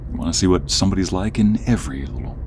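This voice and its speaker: Low-voiced, protective male friend played by a man. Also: lively intonation, racing, background noise. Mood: protective, earnest. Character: protective, caring male friend, playful turning worried. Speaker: ASMR roleplay performer. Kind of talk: ASMR roleplay audio drama.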